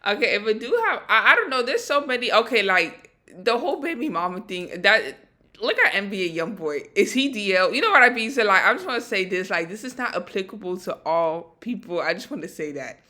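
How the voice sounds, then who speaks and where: A woman's voice: mid-range voice, big pitch swings, fairly quick, bright, forceful tone. A woman co-hosting a casual reaction chat, laid-back and slangy, often checking in with "you know what I mean". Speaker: co-host.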